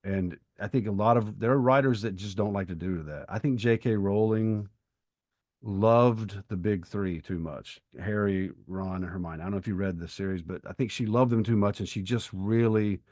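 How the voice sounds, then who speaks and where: A man's voice: on the low side, normal speed, darkish tone, no room sound, studio quality. A middle-aged man co-hosting a podcast, chatting casually in a bookish, opinionated way and explaining things at length. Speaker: podcast co-host and writer. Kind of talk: casual conversational discussion.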